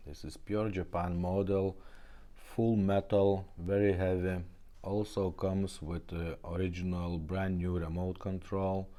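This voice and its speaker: A man's voice: sits low, dark tone. A man presenting a car radio demonstration in a casual style, speaking in short phrases and often checking in with the listener.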